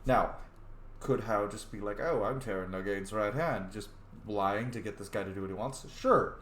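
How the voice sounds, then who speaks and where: A man's voice: on the low side, normal speed, fairly bright tone, a little hiss or hum. A young man giving joking, casual commentary while playing a video game. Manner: joking, playful. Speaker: gaming channel host. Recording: echoey room.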